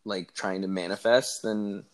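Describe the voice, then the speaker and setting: Male guest around thirty, fairly low voice, earnest and reflective, casual chat with many fillers. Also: steady tone, unhurried. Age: 30s. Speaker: podcast guest.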